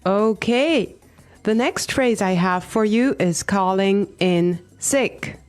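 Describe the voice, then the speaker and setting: A woman co-hosting an English lesson podcast, cheerful and clearly explaining each phrase. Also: mid-range voice, slow, faint music behind. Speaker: podcast co-host, English teacher.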